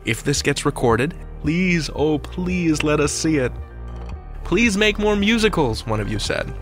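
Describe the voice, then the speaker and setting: A man with a lively, swinging mid-range voice hosts a scripted countdown podcast. He is playful and wry, with faint music. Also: a little high, a little slow. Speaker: podcast host.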